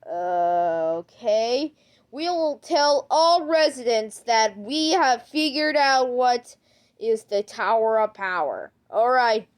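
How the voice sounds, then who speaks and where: A woman acting out an improvised amateur skit, speaking haltingly and repeating her words. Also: fairly high pitch, lively intonation. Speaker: creator acting out an emergency alert skit.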